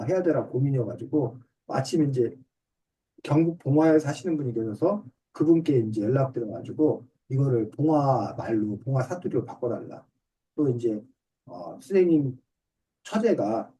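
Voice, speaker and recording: A man's voice: medium pitch, dark tone. A middle-aged man, an author and teacher, politely explaining things step by step in simple terms during an online class. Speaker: author and reading teacher answering a student's questions. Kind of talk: explanatory talk in an online book discussion class. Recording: distant mic on online video call.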